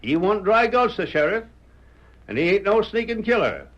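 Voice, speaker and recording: An actor playing a talkative, loyal frontier deputy with a drawling rural dialect in a 1930s radio drama. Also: male voice, high-pitched, very animated, moderate pace, fairly bright tone. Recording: muffled old radio recording.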